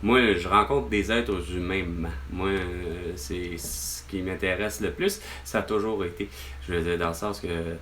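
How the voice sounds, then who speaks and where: A man's voice: sits low, steady tone, a little slow, fairly bright tone, slight background noise. Exasperated man with a Québécois accent. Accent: Québécois French. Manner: rambling, exasperated, swears often. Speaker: vlogger. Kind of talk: venting on a phone call with his mother.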